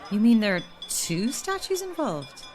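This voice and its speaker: An actress playing a blunt, sardonic Irish news reporter in a naturalistic audio drama. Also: female voice, on the high side, very animated, unhurried, a little mellow. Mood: dry, challenging. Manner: dry, sardonic.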